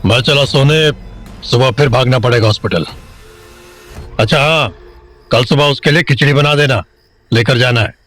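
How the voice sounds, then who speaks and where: A man's voice: mid-range voice, moderate pace, pauses often, a bit bright, soft background music, lightly acted. A man playing a weary, blunt, middle-aged small-town father in an audio drama. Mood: gruff.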